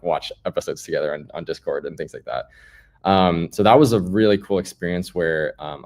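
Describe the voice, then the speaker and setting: A man's voice: low voice, darkish tone. A man speaking as an interview guest, telling his story in a casual, explanatory, conversational way. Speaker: guest, startup founder.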